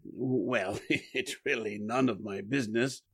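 A man playing a well-mannered, apologetic gentleman in 1940s radio drama style. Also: medium pitch, takes it slowly, gravelly, slightly rough, full character voice. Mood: coy, hesitant. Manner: mild, polite, apologetic. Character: diffident, well-mannered gentleman, coyly informative.

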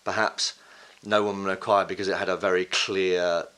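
A middle-aged man from England talks in a loose, halting way, full of restarts, fillers and the odd casual swear. His voice sits very low. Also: flat delivery, fairly bright tone. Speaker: interview guest. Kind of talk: casual conversational interview.

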